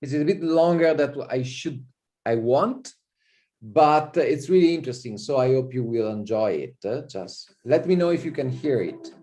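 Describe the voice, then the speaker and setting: A man from Italy with an Italian accent, a visiting professor giving an online university lecture on a video call, relaxed and full of fillers and repeated "okay". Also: mid-range voice, moderate pace. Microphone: computer mic on a video call.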